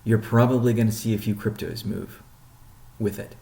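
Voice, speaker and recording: A man leading an informal business update call as a chief executive, explaining things in a measured way with pauses mid-sentence. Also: lowish voice, fairly even intonation, gravelly, dark tone. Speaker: company CEO hosting a weekly update call. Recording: roomy, echoing sound.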